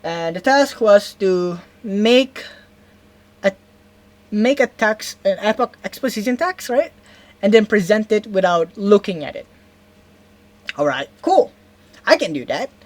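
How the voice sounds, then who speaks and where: A woman's voice: mid-range voice, sing-song, a little slow, long pauses. A young woman from Indonesia with an Indonesian accent, telling a story in a casual vlog, rambling, stopping to correct herself and often asking "right?". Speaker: vlogger.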